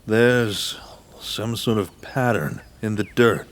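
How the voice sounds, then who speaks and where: A man's voice: fairly low pitch, slow pace. An actor playing a laconic, gleeful rifleman in an audio drama. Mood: puzzled. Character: gleeful rifle-wielding sniper, laconic teammate.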